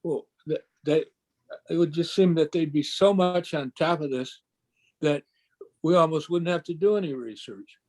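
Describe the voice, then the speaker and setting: A man on a library board shares his view in a meeting, stumbling through repeated restarts. His voice sits somewhat high for a man. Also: normal speed, a little mellow. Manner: stammering restarts. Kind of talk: meeting discussion.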